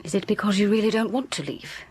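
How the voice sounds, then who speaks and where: An actress playing a composed, sympathetic young woman who asks gentle, direct questions in a radio drama. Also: medium pitch, fast talker, husky, natural voice. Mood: concerned, curious.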